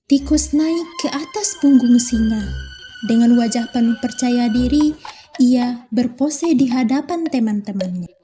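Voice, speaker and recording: A young woman from Indonesia, a student, calmly narrating a children's fable. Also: on the high side, a little slow, soft background music. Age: young adult. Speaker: student storyteller. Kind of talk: scripted children's story narration.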